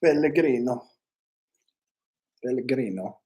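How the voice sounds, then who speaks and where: A man chatting casually as a livestream host, halting and offhand, often repeating his phrases. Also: medium pitch, animated, very noisy. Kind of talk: casual rambling livestream chat.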